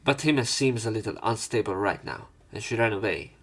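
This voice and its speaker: A man's voice: on the low side, animated, brisk, husky. A man reading visual novel text aloud and breaking off for casual, sweary let's play commentary. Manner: casual, sweary interjections between read lines.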